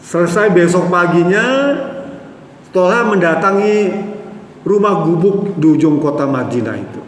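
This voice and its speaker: A man's voice: high voice, animated, unhurried, slight background noise. A man giving a sermon, telling stories and often addressing the listeners as "Pak". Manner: addresses the audience as "Pak", tells stories with acted quotes. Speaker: preacher. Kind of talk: Islamic sermon storytelling. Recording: echoey hall with faint ambience.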